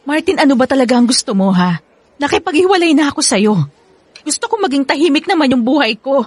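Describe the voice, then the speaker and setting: A woman's voice: sits high, big pitch swings, fast, no echo. An actress playing a young woman in a radio drama, emphatic and easily exasperated. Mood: exasperated, firm. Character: exasperated, firm young woman rejecting her ex-lover.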